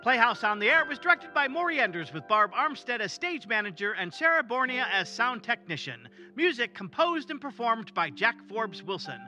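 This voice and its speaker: Male announcer reading radio credits, really high and bright, lively, music behind. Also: moderate pace, few pauses. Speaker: closing announcer. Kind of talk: scripted radio credits read.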